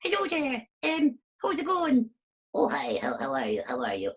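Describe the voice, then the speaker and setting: A man voicing two excitable little flowers chatting in a field, stammering and squeaky. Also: normal speed, full character voice. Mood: chatty eager.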